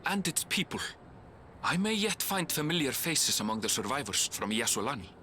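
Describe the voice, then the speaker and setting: An actor playing a sombre but hopeful adventurer in a video game, with faint ambience behind him. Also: male voice, mid-range voice, sing-song, normal speed, bright, forceful tone, a little hiss or hum. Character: sombre, hopeful male adventurer seeking old acquaintances. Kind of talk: scripted video game voice acting.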